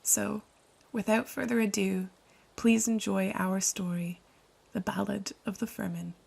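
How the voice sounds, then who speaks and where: Measured woman with a creaky voice. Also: medium pitch, fairly even intonation, a little mellow. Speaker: podcast host and radio-play co-writer. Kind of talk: scripted podcast introduction.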